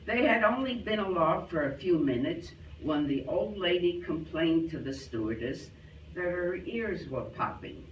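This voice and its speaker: Slow, animated man telling jokes in an echoey hall. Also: fairly high pitch, lo-fi sound. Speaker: joke teller at a club show. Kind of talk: telling scripted jokes to a live audience. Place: club hall, live performance. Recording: echoey hall, distant, faint noise.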